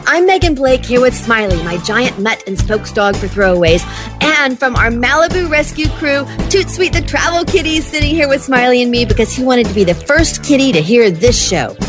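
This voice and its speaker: A woman with a fairly high voice hosts a radio show about pets. She is gushing and enthusiastic. Her delivery shifts between upbeat scripted introductions and chatty interviewing. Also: lively intonation, moderate pace, music in the background. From southeastern United States. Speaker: host of a pet radio show.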